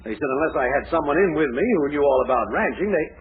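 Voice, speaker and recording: An actor playing a blustery, scheming middle-aged storekeeper who cajoles breezily in a 1940s radio comedy. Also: male voice, fairly high pitch, animated, fast, natural voice. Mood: cajoling. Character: blustery, scheming older male storekeeper, breezily self-serving. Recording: narrow-band 1940s radio with audience noise.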